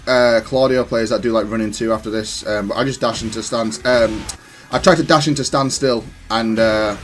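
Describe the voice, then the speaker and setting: A man's voice: medium pitch, a little hiss or hum. A man giving casual, analytical commentary on his own gaming match, explaining his decisions with some self-correction. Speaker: gamer reviewing own match. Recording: music playing behind the voice. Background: music.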